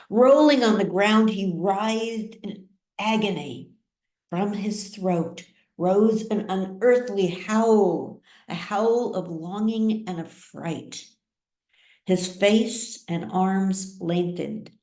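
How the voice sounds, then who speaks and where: A woman reading a novel aloud as dramatic scripted narration, with deliberate phrasing. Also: medium pitch, darkish tone. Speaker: story reader. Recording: echoey room.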